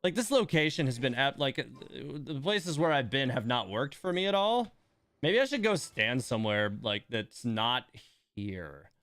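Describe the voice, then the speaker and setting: A man livestreaming a game, musing aloud in a wry, halting, casual way. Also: medium pitch, very animated, a bit bright, no echo. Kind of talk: casual gaming stream commentary.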